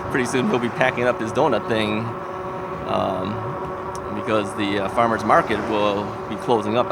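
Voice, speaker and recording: Older man, sniffly, loud street traffic behind. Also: mid-range voice. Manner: rambling and chatty, with frequent fillers and soft laughs. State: sniffly from a stuffy nose. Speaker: e-bike vlogger. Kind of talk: casual vlog chat. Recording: outdoor recording with loud traffic noise. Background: loud traffic and street noise.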